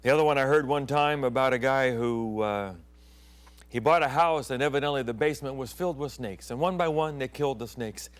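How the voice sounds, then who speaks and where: A middle-aged male pastor who grew up in Thailand, preaching on television through vivid stories. His voice sits at an ordinary pitch, and he lowers it to a hush or a whisper to build suspense. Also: brisk. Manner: dramatic storyteller, dropping to hushed tones and whispers. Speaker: pastor and TV program host. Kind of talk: storytelling sermon.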